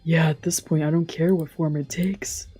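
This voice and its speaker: A woman streamer talking casually and swearing a little as she plays and reads out a visual novel, with a husky voice. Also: low-pitched, steady tone, normal speed, warm tone. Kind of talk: casual let's-play with dramatic read-aloud translation. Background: game music faintly behind.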